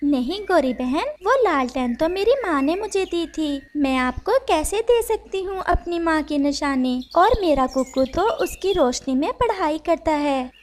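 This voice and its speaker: A woman voicing a gentle, protective mother bird in a cartoon story, polite and measured. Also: sits high, animated, slight background noise. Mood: politely firm.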